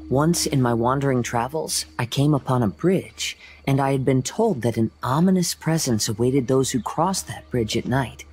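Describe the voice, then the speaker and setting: A young man voicing a gentle, composed wandering swordsman in an anime game, soft-spoken and poetic, like a storyteller. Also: medium pitch, a little hiss or hum, lightly acted, poor audio.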